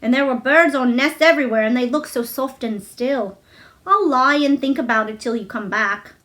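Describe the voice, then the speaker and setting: Bedridden storybook boy, acted by a woman, high-pitched, weary and wistful. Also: moderate pace, fairly bright tone. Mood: wistful, hopeful. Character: frail, tired, bedridden young boy, wistful.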